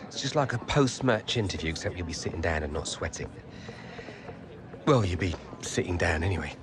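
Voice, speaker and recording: An actor playing a reassuring, matey minder with dry jokes in a video game cutscene. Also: male voice, low voice, animated, a little fast, noisy background. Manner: matey, dryly joking. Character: reassuring, joking male minder of a player.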